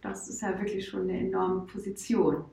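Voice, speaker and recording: A woman's voice: mid-range voice, fairly even intonation, normal speed, gravelly, dark tone, echoey room. A woman hosting a podcast, asking questions in a friendly, encouraging manner. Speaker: podcast host. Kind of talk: podcast interview.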